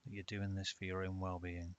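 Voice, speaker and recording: A man narrating a guided meditation in a calm, measured way with long pauses. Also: low-pitched. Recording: dull lo-fi audio with hiss.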